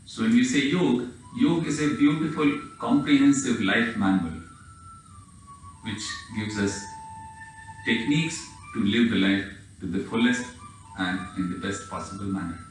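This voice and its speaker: A low-voiced man with an Indian accent leads a yoga class, speaking in slow, reverent, short phrases. He sits away from the mic in a very echoey space, with soft music underneath. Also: far from the mic. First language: Hindi. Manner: measured, reverent, slightly halting phrasing. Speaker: yoga teacher. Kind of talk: guided yoga session over video.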